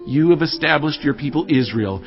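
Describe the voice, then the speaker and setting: A man's voice: fairly high pitch, lively intonation, a little fast, gravelly. An actor playing a devout, fervent king of Israel in dramatized scripture, impassioned and declamatory, with music behind. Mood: reverent awe. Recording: muffled, band-limited audio.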